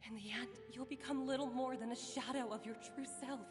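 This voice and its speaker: A woman's voice: sits high, fairly even intonation, brisk, natural voice. An actress playing a caring wife and mother who speaks earnestly and reassuringly. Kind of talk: game cutscene voice acting. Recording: game audio with reverb and faint music.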